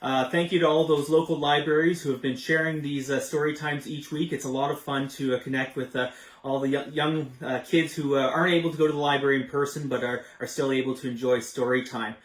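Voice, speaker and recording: A man's voice: mid-range voice, flat delivery, fairly quick. A man hosting informally, with a polite, somewhat hesitant way of talking. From Canada. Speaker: host, member of parliament. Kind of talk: informal welcome and interview. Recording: echoey, reverberant room sound.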